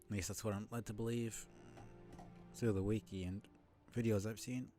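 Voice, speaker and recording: A man with a low voice giving casual, informal live commentary as a video game streamer, thinking out loud. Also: fairly even intonation, moderate pace. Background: game music behind the voice.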